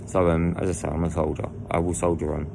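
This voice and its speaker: A man with a croaky voice, telling his own story in a rambling way full of restarts and asides. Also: low-pitched, little pitch movement, fast talker, noisy background. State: croaky voice from lying down. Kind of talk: candid personal storytelling. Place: indoors lying down at home.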